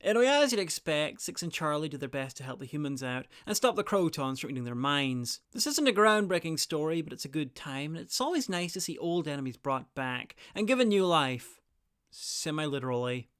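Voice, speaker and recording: Smooth, wry, higher-pitched male reviewer. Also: sing-song, a little fast, fairly bright tone, dry recording, studio quality. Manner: wry, opinionated asides. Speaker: review host. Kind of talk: scripted narration, review commentary.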